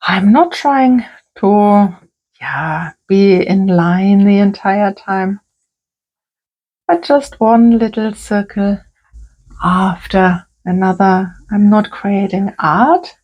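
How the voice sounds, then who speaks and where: Woman, calm crafting tutorial, soft-spoken, unhurried, very slow pace. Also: mid-range voice, muffled recording. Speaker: creative therapist.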